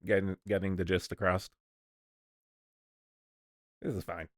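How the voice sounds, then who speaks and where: A man's voice: low-pitched, little pitch movement, a little slow, dry recording, clean studio sound. A man hosting a casual online stream chat, rambling and restarting his sentences while he sets up and talks. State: coughing lately, sick. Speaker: streamer host.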